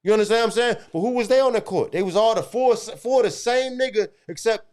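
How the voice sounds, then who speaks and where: A man's voice: high voice, fast, a little mellow. A man co-hosting a podcast, talking in a boastful, rambling way, repeating himself and swearing. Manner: boastful, rambling, repetitive, profane. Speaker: podcast co-host. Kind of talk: casual podcast banter.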